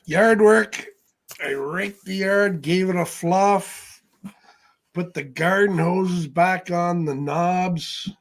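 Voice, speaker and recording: A middle-aged man co-hosting a casual livestream with offhand, wisecracking banter and a husky voice. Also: high-pitched, takes it slowly. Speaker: livestream co-host.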